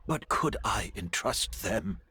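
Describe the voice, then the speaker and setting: An actor playing a weary, resigned older man, a gentle master, with halting, soft, breathy speech in a dramatic video game. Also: on the low side, lively intonation, exaggerated voice. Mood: gentle, pleading. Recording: background music under the voice.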